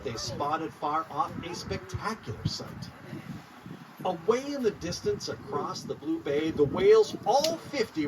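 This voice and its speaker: A man reading a rhyming picture book aloud to young listeners in an expressive, animated storytelling style. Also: high-pitched. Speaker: children's author reading aloud. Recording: noisy, distant mic, live room ambience.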